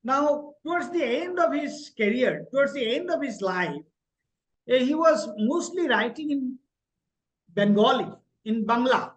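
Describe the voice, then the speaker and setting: A man's voice: extremely high pitch, lively intonation, measured pace, poor audio. A man interviewing a guest, hesitant, with many fillers and restarts. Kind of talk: interview questions and comments.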